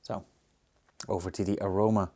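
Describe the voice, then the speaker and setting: A man with a Belgian accent giving casual, unscripted tasting commentary as a reviewer, pausing to muse and search for words. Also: low voice, flat delivery, dark tone. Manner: hesitant, musing, searching for words. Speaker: beer reviewer and host.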